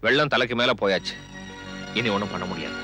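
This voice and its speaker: Man acting an irritable, fast, swooping husband. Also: lowish voice, expressive, over music, close to an everyday voice. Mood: frustrated. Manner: curt, snappish, defensive. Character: irritable, stressed male film producer and husband. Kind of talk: Tamil television serial acting.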